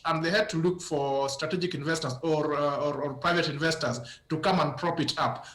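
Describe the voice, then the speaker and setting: A man with a slight cold gives analysis over a remote link, speaking in a fairly high voice. He halts often, repeating words and filling gaps with "uh." The sound is roomy and echoey. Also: fairly bright tone. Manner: halting, many repeated words and fillers. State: has a cold. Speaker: business analyst guest. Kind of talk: live news interview.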